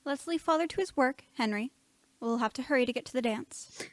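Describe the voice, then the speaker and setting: A woman's voice: high-pitched, fairly quick, no room sound, natural voice. A teenage girl playing a playful, affectionate young woman, a scientist's daughter, in an amateur radio play.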